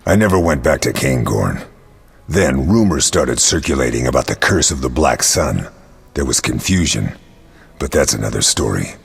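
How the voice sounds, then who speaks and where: Grave game monster hunter, extremely deep raspy voice, long cave-like reverb. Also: male voice, animated, moderate pace, soft background music, full character voice. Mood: grave, measured. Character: grave, battle-hardened adult male monster hunter. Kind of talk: video game dialogue acting.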